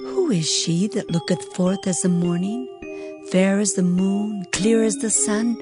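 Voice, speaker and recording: A woman playing a curious young maiden questioning a bride in a dramatized Bible reading, with expressive poetic delivery. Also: medium pitch, unhurried, music in the background, natural voice.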